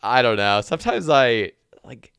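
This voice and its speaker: Dude, pretty low voice that swings all over, swears a ton, dry sound. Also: male voice, moderate pace, vocal fry, clean tone, dry recording. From Oregon, United States. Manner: animated storyteller, swears often, laughs at himself. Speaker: podcast guest. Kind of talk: casual chat.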